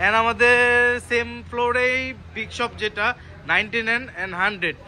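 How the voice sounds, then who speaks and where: A man's voice: very high voice, normal speed, sharp tone, a little hiss or hum. A Bangladeshi man hosting a casual product demonstration, enthusiastically repeating and confirming points. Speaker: video host and toy shop promoter.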